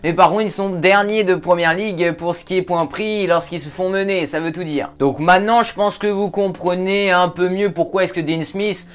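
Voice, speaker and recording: A man's voice: high-pitched, fairly even intonation, moderate pace, few pauses, clear, resonant tone. A man hosting a casual football talk show, chatting and joking with viewers, with frequent hesitations. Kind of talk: casual football commentary vlog.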